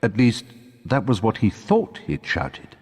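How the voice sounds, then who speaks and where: A man narrating a wizard tale as a storyteller in a video game, with a dramatic scripted delivery and a husky voice. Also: lowish voice, wildly swooping pitch, measured pace, long reverb tail, full character voice. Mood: suspenseful narration.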